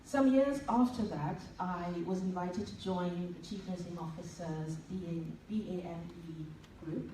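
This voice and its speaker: A woman's voice: lowish voice, measured pace, hardly any pauses. Trinidadian woman speaking in an echoey hall. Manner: warm, enthusiastic and admiring. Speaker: nurse giving a tribute speech. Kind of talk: public tribute speech. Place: large event hall. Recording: echoey hall, distant, noisy.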